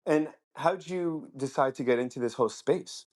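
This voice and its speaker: A man's voice: mid-range voice, moderate pace, a little mellow. Eager rambling podcast host, a man. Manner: eager and rambling, with many fillers. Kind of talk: casual interview hosting.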